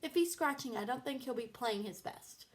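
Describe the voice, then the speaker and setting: A woman's voice: a little high, animated, moderate pace, close to an everyday voice. A woman voicing a polite, anxious, fussing mother in a children's storybook read-aloud. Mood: polite worry. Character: Bitsy, polite, fussing, worried mother of a young ballplayer.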